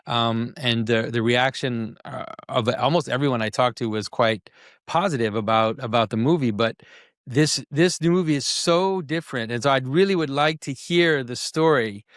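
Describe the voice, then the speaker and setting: A man hosting an interview, asking questions in a relaxed, hedging way. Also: mid-range voice, moderate pace, dry recording, clean studio sound. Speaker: host.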